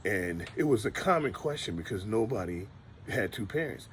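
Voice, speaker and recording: A middle-aged man speaks in a very low, deep register. He talks in a relaxed, rambling vlog style, stopping to restart his sentences as he remembers. A faint ambient hum sits behind him. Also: normal speed. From Detroit, USA. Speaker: vlogger. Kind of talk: casual storytelling monologue.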